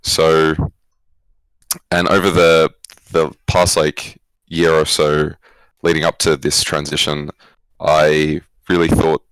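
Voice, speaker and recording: A man's voice: extremely low pitch, monotone, slow pace, fairly bright tone, clean tone, no echo, rough recording. A young man with an Australian accent, answering interview questions casually and reflectively, rephrasing as he goes. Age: young adult. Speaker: esports coach, former pro player, guest.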